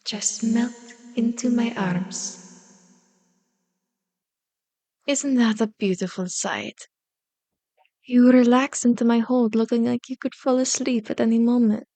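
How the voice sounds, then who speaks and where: A woman's voice: on the high side, fairly even intonation, warm tone, no echo. A woman playing a powerful, manipulative female vampire in an ASMR roleplay, seductive and condescending, slipping into whispers as she commands and coaxes. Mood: soothing, coaxing. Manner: slow, commanding, mock-sweet taunting with whispered words.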